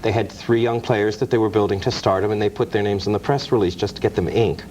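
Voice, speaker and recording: A man's voice: fairly low pitch, fairly even intonation, quick pace, a little mellow, slight background noise, rough recording. A man speaking as an author guest, telling anecdotes in an explanatory, storytelling way. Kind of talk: anecdotal film-history interview.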